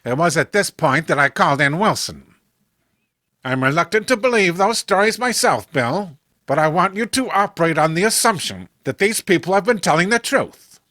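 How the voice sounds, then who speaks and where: Guy doing a bossy police commissioner telling a case, sharp brightish higher voice, horror comic style. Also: expressive, normal speed, no echo, studio quality. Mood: matter-of-fact. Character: authoritative veteran police commissioner recounting a strange case. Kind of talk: dramatic storytelling, horror comic voice acting.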